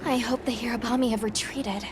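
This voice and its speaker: A woman playing a composed, dutiful expedition official in a video game cutscene, under loud music. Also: on the high side, steady tone, fairly quick, background noise, lightly acted. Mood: composed, serious.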